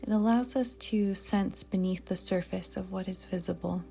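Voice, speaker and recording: A woman guiding a yoga nidra meditation in a soft, breathy, calm and deliberate voice that often trails toward a whisper. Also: mid-range voice, soft background music. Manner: calm, soothing, gentle, deliberate. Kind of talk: slow guided meditation narration.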